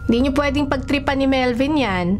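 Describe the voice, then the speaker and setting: Woman acting a bossy, high-voiced household elder. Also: brisk, faint music behind, lightly acted. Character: bossy, protective women of a household, nanny and elder sister. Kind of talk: radio drama acting.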